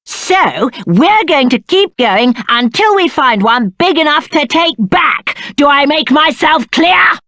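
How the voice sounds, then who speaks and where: A man's voice: very high voice, animated, slow pace, hardly any pauses, sharp tone, no echo, full character voice. A male actor playing a spoiled, cowardly young prince in a video game, with a British accent and haughty, whiny, aristocratic diction. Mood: disappointed, pompous, then furious, shrill. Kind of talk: theatrical video game character acting.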